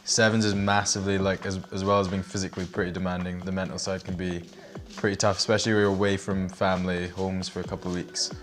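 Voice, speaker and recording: Low-voiced guy in his 20s, laid back, jokey, chatting in a salon with faint music. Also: fast, few pauses. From Portugal. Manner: relaxed, self-deprecating, laughs often. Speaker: guest athlete. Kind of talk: casual chat. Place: hair salon.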